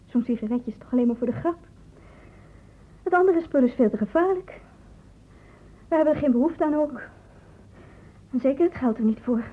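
A woman's voice: high voice, lively intonation, normal speed, long pauses. An actress playing a guarded, evasive teenage girl in a radio drama, giving hesitant, defensive answers. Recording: noisy, dull lo-fi old radio recording.

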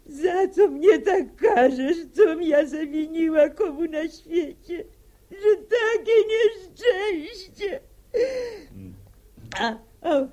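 A woman's voice: extremely high pitch, dark tone, full character voice. An actress playing a distraught village mother in a radio drama, crying and pleading as she laments for her sick child. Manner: lamenting, pleading, rustic speech.

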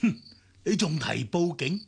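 Radio drama villain: ruthless middle-aged Hong Kong schemer, gravelly, mocking, very animated pitch. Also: male voice, full character voice. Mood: gloating. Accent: Hong Kong Cantonese. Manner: drawn-out, mocking delivery. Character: scheming older male villain, gloating and ruthless. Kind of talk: Cantonese radio drama acting.

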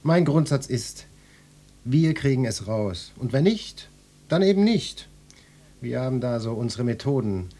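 An actor playing a self-important hard-boiled police detective in a satirical radio play, with a husky voice and a pompous, pedantic, portentous way of talking. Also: male voice, mid-range voice, lively intonation, measured pace, mellow. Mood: grave, self-important. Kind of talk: German satirical radio drama acting.

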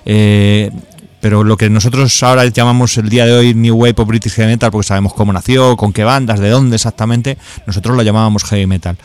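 A man explaining and telling anecdotes in a measured, conversational way as an interview guest. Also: on the low side, fairly even intonation, darkish tone, clear, resonant tone. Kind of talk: conversational storytelling.

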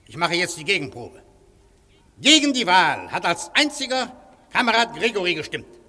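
A formal, commanding chairman running a meeting in a radio drama. His voice is bright and fairly high, rising and falling as he calls order. The hall lends a long reverb. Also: very animated, normal speed, full character voice. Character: chairman of an anarchist council, stern and formal. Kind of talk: radio play acting.

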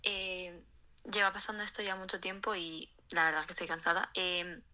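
A woman's voice: on the low side, little pitch movement, normal speed, sharp tone, highs cut off. A woman acting a guilty, weary mistress in a naturalistic audio drama, speaking hesitantly and apologetically. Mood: hesitant, apologetic.

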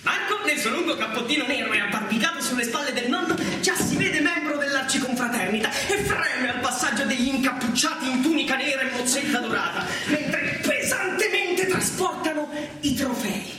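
A man giving scripted theatrical narration with a dramatic, deliberate delivery. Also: extremely high pitch, animated, over music. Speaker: actor and narrator. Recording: echoey, noisy, distant stage recording.

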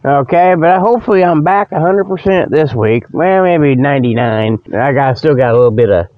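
A man's voice: fairly high pitch, lively intonation, brisk, no echo, phone-quality sound. A man hosting a comic radio report in a folksy, meandering monologue, with a bit of a frog in his throat. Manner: folksy, colloquial, meandering, often losing the thread. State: slight frog in the throat from a lingering cold. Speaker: host of a humorous report show. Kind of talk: rambling comic radio monologue with mock sponsor pitches.

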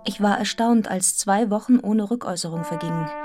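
An actress playing a serious, restrained young governess in a radio drama, telling her story in a measured, articulate, introspective way. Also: mid-range voice, dark tone, faint music behind, close to an everyday voice. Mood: reflective, grave. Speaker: actor in a radio play. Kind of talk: literary radio drama narration and dialogue.

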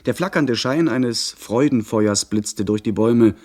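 A man playing an earnest young gentleman who narrates his pirate adventure in measured, literary German radio-drama style. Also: mid-range voice, lightly acted. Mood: watchful, recounting.

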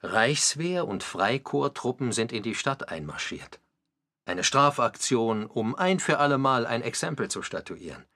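A man narrating a scripted radio documentary drama in a husky voice. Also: medium pitch, sing-song, a little slow, pushed and bright, heavily acted. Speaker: narrator and commentator.

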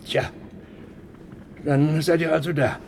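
A man's voice: on the high side, little pitch movement, takes it slowly, creaky, a little mellow. An actor playing a flustered, evasive middle-aged father in a German radio play, stammering and overpolite. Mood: flustered, apologetic. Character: flustered, evasive, fussy father of grown children. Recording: noisy old radio recording.

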